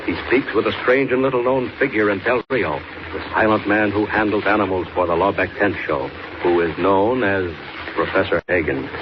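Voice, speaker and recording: A man's voice: fairly low pitch, moderate pace. An American man narrating a 1940s radio serial as announcer, dramatic and declamatory. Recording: muffled, noisy old radio transcription.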